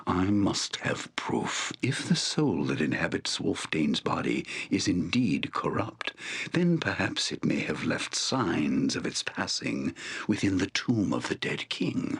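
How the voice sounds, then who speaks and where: Weary exiled shaman for a fantasy game, super deep n husky, grave and slow-talking. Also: fairly bright tone, exaggerated voice. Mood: troubled, wary. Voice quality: gravelly, husky, breathy at phrase ends. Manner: grave, deliberate, formal archaic phrasing. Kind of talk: dramatic fantasy game voice acting.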